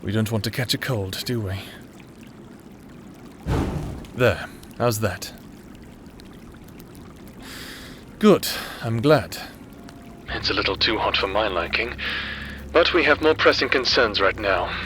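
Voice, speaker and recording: A man playing an earnest, reserved private investigator in an anime roleplay audio drama, speaking in measured, formal phrases. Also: fairly low pitch, expressive, background noise, lightly acted. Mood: relieved. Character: earnest male private investigator, reserved, secretly fond of client.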